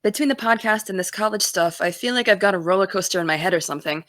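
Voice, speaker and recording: A woman's voice: medium pitch, fast talker, fairly bright tone, no room sound, clean studio sound, lightly acted. A woman playing a driven, distracted college-bound young woman in an audio drama, speaking casually and wryly. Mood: busy, chatty. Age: young adult. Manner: casual, wry. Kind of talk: naturalistic audio drama dialogue.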